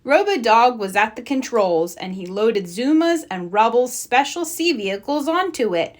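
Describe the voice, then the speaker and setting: A woman narrating a children's storybook in an animated read-aloud style. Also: on the high side, normal speed. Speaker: storybook reader.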